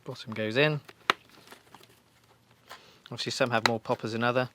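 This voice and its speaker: A man's voice: mid-range voice, steady tone, moderate pace, background noise. A man giving an informal, practical step-by-step demonstration in a reassuring, instructional way. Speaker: motorcycle shop staff giving a fitting tutorial.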